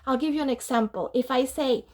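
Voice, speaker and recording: A woman's voice: high voice, fairly quick, darkish tone. A woman teacher talking to children before a read-aloud, asking them questions and mixing Spanish with English. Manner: bilingual, mixes Spanish and English mid-sentence. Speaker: dual language teacher.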